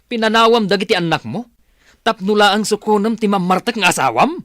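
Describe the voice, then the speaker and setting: An actor with an Ilocano accent playing an exasperated, bluntly disapproving elder brother in a radio drama. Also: male voice, high voice, brisk, fairly bright tone, no echo, studio quality. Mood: scolding, exasperated.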